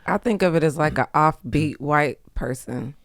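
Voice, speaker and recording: A woman's voice: low-pitched, normal speed, dry recording, studio quality. An American woman chatting casually as a podcast guest, blunt and slangy in the way she talks. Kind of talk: casual chat.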